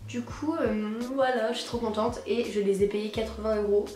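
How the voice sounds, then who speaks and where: A young woman, hoarse from a cold, chatting casually and slangily to camera as an enthusiastic vlogger. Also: a little high, fairly even intonation, normal speed, dark tone. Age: teens to young adult, high school student. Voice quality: hoarse, husky. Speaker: sneaker vlogger presenting purchases. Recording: roomy echo, music behind.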